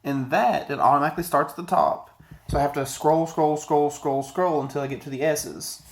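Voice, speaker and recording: A man's voice: a little high, fairly even intonation, brisk. Casual man rambling through a review. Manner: rambling, conversational, digressive. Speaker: product reviewer. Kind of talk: casual video review to camera. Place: small indoor office, sitting at a desk.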